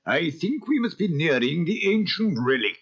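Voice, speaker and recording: A man's voice: on the high side, very animated, moderate pace, husky, full character voice. A man playing a grave, dour, deferential manservant in a cartoon audio story. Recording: dull lo-fi cassette transfer.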